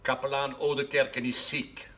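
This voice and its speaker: An actor playing a formal, aloof church official in a television drama, with a dry, measured way of talking. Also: male voice, mid-range voice, little pitch movement, bright, forceful tone, close to an everyday voice. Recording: faintly noisy 1970s television soundtrack.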